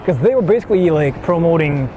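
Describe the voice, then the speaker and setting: A man's voice: fairly high pitch, expressive, fast. A man livestreaming casually while riding through a city, rambling with lots of fillers and switching between English and Japanese. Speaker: IRL livestreamer. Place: outdoors on city streets. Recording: noisy outdoor street stream.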